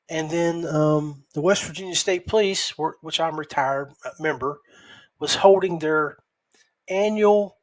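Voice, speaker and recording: A middle-aged man hosting a podcast, giving a casual solo recap in a halting way with repeats and pauses. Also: fairly high pitch. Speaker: podcast host.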